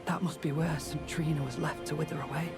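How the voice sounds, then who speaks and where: An actress playing a gentle, hesitant young companion in a fantasy video game, speaking earnestly. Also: female voice, sits low, steady tone, brisk, loud music behind the voice. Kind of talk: scripted video game drama.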